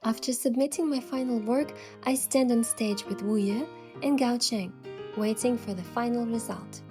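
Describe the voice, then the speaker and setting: A woman's voice: fairly high pitch, moderate pace, close to an everyday voice. A young woman playing a sincere, sensitive heroine who narrates her story in a game, earnest and careful, with music behind her. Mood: composed, tense. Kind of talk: scripted first-person game narration.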